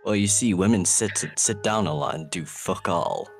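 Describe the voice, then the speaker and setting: A man voicing a menacing, domineering shadowy hedgehog villain, deadpan, in an improvised comic game dub. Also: sits low, moderate pace. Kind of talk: improvised comedic video game fandub. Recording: clear music under the voice. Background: music.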